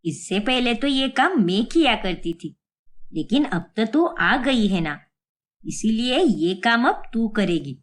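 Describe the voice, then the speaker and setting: A woman's voice: a little high, sing-song, takes it slowly, voice pushed down. A woman voicing a stern, middle-aged Indian mother-in-law in a Hindi cartoon moral story, scolding and exasperated. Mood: bossy.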